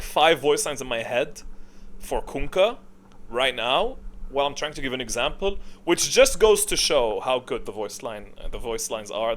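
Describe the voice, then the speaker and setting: A man giving casual, off-the-cuff ranking commentary in an informal, opinionated way, often halting to think aloud. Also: mid-range voice, a bit bright. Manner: opinionated, informal, mildly profane. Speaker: video creator giving a tier list. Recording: clearly audible background noise.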